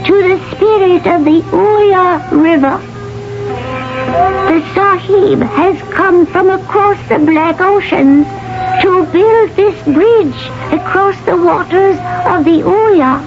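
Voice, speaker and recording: A woman playing an old sorceress and river priestess who speaks slowly and in a chanting, archaic way, in a 1970s radio drama. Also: very high voice, exaggerated voice. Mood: solemn. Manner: slow, chanting, archaic speech. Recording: muffled 1970s radio broadcast with music.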